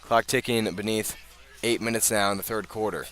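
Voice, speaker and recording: An American man calling live high school football on the radio, measured between plays and emphatic on the big ones. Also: steady tone, a bit bright. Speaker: play-by-play announcer.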